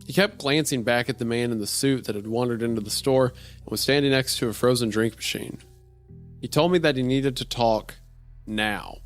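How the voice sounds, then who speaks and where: A man reading as a deadpan gas station clerk, telling a strange story in the first person in a dry way. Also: medium pitch, natural voice. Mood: dry, puzzled. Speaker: podcast host reading a horror story aloud. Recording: music behind the voice.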